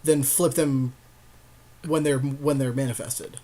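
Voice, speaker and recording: A man's voice: medium pitch, steady tone, unhurried, warm tone. Slangy, jokey guy bantering over cards. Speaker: podcast co-host. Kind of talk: casual banter during a card game draft.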